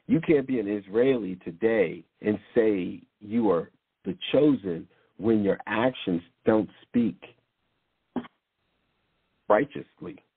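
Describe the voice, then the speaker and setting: Husky man preaching over a tinny line. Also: lowish voice, slow, long pauses, dry recording. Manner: rambling, repeats words and restarts, blunt slang. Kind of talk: informal preaching monologue. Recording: tinny phone-like sound, dry.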